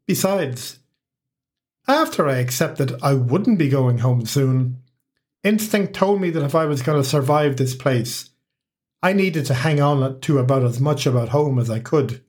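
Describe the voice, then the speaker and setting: A man's voice: medium pitch, expressive, measured pace, darkish tone. A man in his forties with an Irish accent, narrating a scripted first-person story as podcast host with a wry, humorous touch.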